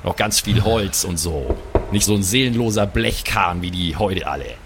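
Lively, enthusiastic man playing a tabletop game. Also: low voice, normal speed, noisy background. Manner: enthusiastic, teasing, chatty. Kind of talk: improvised in-character play.

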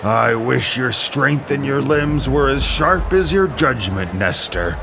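A man's voice: mid-range voice, expressive, takes it slowly, creaky, noisy background, voice pushed up. A man playing a haughty, commanding Greek warlord king in an epic audio drama, with declamatory, rhetorical delivery. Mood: admiring, wistful.

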